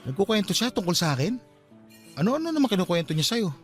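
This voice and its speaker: An actor playing an earnest, eager suitor on a date in a radio drama, speaking conversationally with a Filipino accent. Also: male voice, sits high, sing-song, fast talker, faint music behind. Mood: flattered, playful. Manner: courting, conversational.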